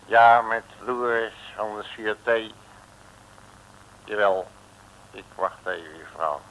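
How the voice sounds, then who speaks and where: An actor playing a cheeky, sardonic police detective in a colloquial 1960s comic radio serial. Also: male voice, on the low side, slow, fairly bright tone, rough recording. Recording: old radio recording.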